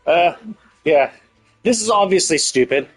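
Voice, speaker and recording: A man's voice: fairly high pitch, animated, unhurried, faint music behind. A man livestreaming casual commentary on a game's story, thinking aloud in a rambling, opinionated way. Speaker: live streamer.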